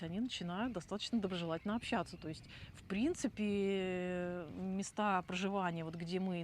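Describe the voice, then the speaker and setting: A woman's voice: mid-range voice, darkish tone, slight background noise. A middle-aged woman co-hosting a casual vlog, chatty and talking straight to her viewers. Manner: chatty, addresses viewers directly. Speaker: channel co-host.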